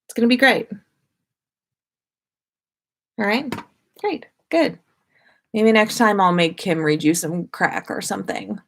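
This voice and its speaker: A woman's voice: mid-range voice, moderate pace, vocal fry, a bit bright. A woman hosting a casual livestream, chatting informally and greeting her listeners. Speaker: livestream host and podcaster.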